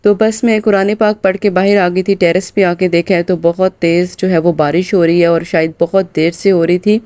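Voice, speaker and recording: Hoarse, low-voiced mom vlogger from Pakistan. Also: female voice, fairly even intonation, brisk, dark tone, dry recording. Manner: chatty, loose, full of filler phrases. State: hoarse from a sore throat. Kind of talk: casual voice-over narration of a daily vlog.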